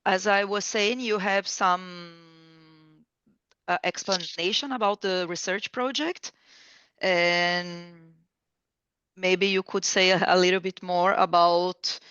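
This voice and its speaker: A woman applicant asks questions over a video call, hesitating often with fillers. Her voice is smooth and resonant, and the call audio is dry and slightly dull. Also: on the low side, steady tone, takes it slowly, a bit bright, no echo.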